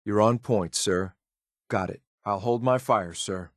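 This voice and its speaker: Male actor as cool, lethal android soldier for a video game: low voice, clipped military deadpan, very dry close recording. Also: unhurried, no echo, natural voice. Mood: clipped, businesslike. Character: stern, loyal synthetic courser soldier, cool and lethal. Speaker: combat companion in a video game. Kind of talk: scripted video game voice lines.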